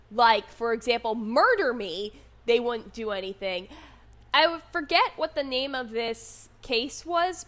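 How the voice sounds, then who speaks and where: A young adult woman with a high, youthful voice that can pass for a child's, telling a personal story in a candid, conversational way with wry asides. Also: fairly bright tone. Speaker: online content creator telling their own story. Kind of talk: casual personal storytelling monologue.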